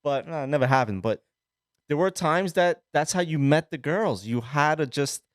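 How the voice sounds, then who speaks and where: A man, co-hosting a casual podcast and telling rambling, animated stories with a lot of swearing. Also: fairly high pitch, normal speed, dry recording, clean studio sound. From Sicily. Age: 30s. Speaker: podcast co-host. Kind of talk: casual chat.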